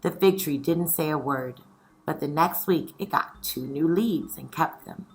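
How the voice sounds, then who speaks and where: A woman teacher reading a children's story aloud and discussing it in an expressive style. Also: low-pitched, measured pace.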